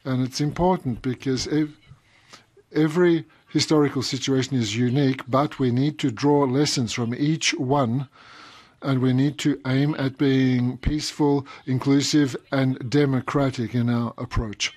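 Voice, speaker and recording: Male simultaneous interpreter, halting delivery, dry booth mic. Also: mid-range voice, fairly even intonation, darkish tone, no echo. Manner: hesitant, frequent pauses and uh fillers. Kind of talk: live interpretation of a speech.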